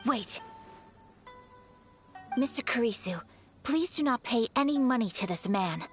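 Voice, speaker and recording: A woman playing a refined young noblewoman in a video game, formal, courteous and gently firm. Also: a little high, expressive, a little slow. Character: refined young noblewoman, polite, principled and composed. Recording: faint game music under the voice.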